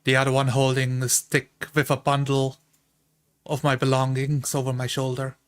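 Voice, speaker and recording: A brave, dependable dwarf traveller, played by a man in a fantasy tabletop game. He speaks in a flat, mid-pitched voice, thoughtful and measured, with halting pauses. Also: smooth, close to an everyday voice.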